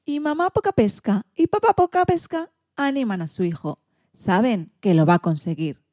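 A woman reading a children's storybook in an expressive, theatrical way. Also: fairly high pitch, normal speed, no echo, clean studio sound. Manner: theatrical, acts out sound effects and exclamations.

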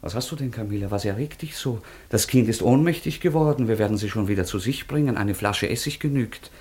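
Earnest, easily stung young aristocrat for stage-classic radio drama: lowish, husky, declamatory. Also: male voice, a little fast, a little mellow. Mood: stung, defiant. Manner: declamatory, theatrical.

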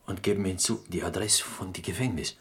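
An actor playing a humble, pleading prisoner who is a cook, speaking halting, broken German in a radio drama. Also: male voice, deep voice. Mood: quiet, hopeful.

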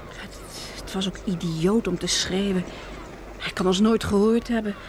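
An actress playing an anxious, earnest wife surviving in the countryside in a Dutch radio drama. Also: female voice, medium pitch, steady tone, moderate pace, darkish tone, slight background noise, close to an everyday voice. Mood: dejected.

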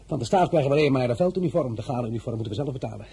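A man playing a proud, sharp-tongued young general in a radio drama, brisk and self-assured. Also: medium pitch, lively intonation, husky.

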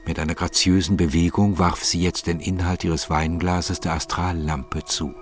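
A man reading scripted literary narration in a measured, formal manner. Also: low-pitched, little pitch movement, faint music behind.

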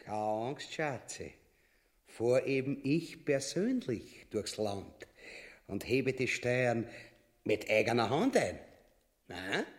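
A man's voice: mid-range voice, very animated, slow pace. An actor playing a crude, greedy tyrant king with an Austrian accent in a radio play, pompous and coarse. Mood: gleeful, commanding. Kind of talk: theatrical radio play acting.

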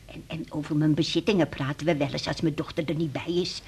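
A woman's voice: low voice, fairly even intonation, quick pace, darkish tone, slightly rough, full character voice. An actress playing a feisty, teasing elderly mother in a radio drama, with blunt, playful retorts. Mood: teasing, defiant. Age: older. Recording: slightly noisy 1980s radio recording.

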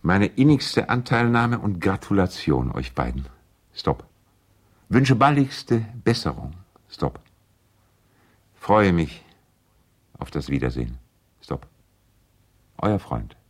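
An actor playing a courteous, idealistic male lawyer in a radio play, earnest and gently ironic, speaking in a formal, measured, eloquent way. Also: deep voice, very animated, full character voice. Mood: formal congratulation. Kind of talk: radio drama letter monologue.

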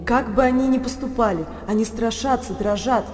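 An actress playing a pensive storyteller who laments human frailty, reciting in a measured, declamatory radio drama style. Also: female voice, on the high side, darkish tone, lightly acted. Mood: pensive, lamenting. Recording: reverberant hall with faint music.

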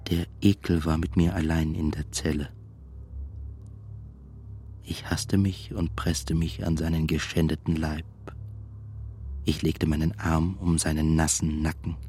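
An actor playing a tormented, melancholy man in a radio play monologue, brooding and deliberate, at times nearly whispering. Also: a bass voice, flat delivery, mellow, a little hiss or hum. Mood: disgusted, self-loathing. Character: tormented, melancholy man confessing desire and self-loathing. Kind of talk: literary first-person monologue in radio play.

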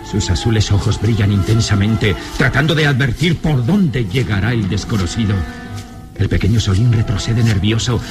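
A man narrating a radio serial in a suspenseful, theatrical style. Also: on the low side.

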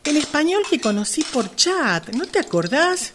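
A woman's voice: sits high, very animated, unhurried, background noise. An Argentine woman playing a hesitant sister in love, a longtime caregiver, in an amateur radio drama. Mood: friendly, hesitant.

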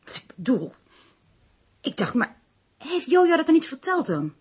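An actress in a Dutch radio play playing a concerned, gossipy woman who confides dramatically in her friend, with a husky voice. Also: high voice, very animated, normal speed, darkish tone. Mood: agitated, probing. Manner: dramatic, lingering over grim news.

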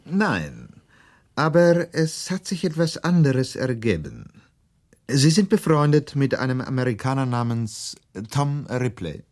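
An actor playing an older Italian police officer, polite and probing, in a radio drama. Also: male voice, medium pitch, very animated, a little slow, a little mellow. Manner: inquisitive, formal.

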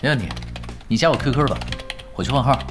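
A man's voice: medium pitch, lightly acted. A man acting in an audio drama as a shrewd, teasing young gaming veteran who talks casually and without hurry. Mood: easygoing, confident. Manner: casual, unhurried, lightly teasing. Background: background music.

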